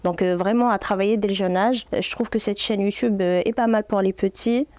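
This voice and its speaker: Gentle woman teacher. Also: medium pitch, steady tone, a little fast, no echo. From Morocco. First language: Arabic. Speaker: Arabic teacher. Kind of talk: interview answers.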